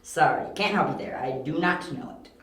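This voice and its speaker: A man playing a clever, determined young detective in an amateur audio drama, wry and quick-witted. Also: on the high side, fairly bright tone, lightly acted. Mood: wry, confident. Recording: echoey room, amateur home recording.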